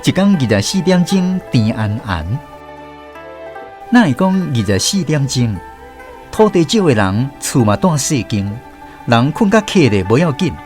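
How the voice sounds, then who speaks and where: A man with a Taiwanese accent narrates a scripted radio story. His mid-pitched voice moves up and down with lively expression, over faint instrumental music. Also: a little slow.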